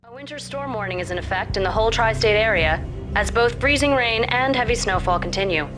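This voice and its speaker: An actress playing a TV news anchor in a video game, with crisp broadcast delivery. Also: female voice, a little high, expressive, brisk, music in the background, lightly acted. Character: TV news anchor reporting a storm.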